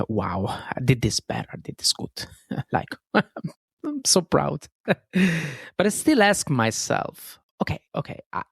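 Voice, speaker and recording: A man's voice: mid-range voice, gravelly, no room sound, studio quality. A man with an Italian accent hosting a self-help podcast on his own, talking earnestly, often restarting phrases and adding fillers. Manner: earnest, self-correcting, frequent fillers and "guys". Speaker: podcast host.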